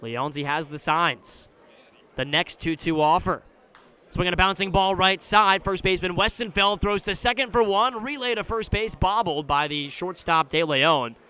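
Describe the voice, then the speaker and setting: A man doing live radio play-by-play commentary of a baseball game, describing the action in a measured way that rises when the play picks up. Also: sits high, bright, forceful tone, clean tone. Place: live at an outdoor ballpark.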